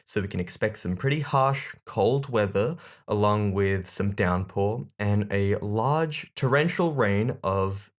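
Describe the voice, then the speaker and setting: A man playing a deadpan, hesitant weather presenter in a comedy news parody. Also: lowish voice, vocal fry, heavily acted. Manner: hesitant, matter-of-fact deadpan.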